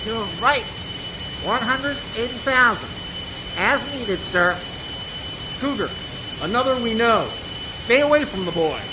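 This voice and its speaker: A man's voice: very high-pitched, animated, a little slow, pauses often. A man playing a terse military fighter pilot in an amateur radio comedy. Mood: tense. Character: clipped military fighter pilot in aerial combat. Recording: loud jet engine noise.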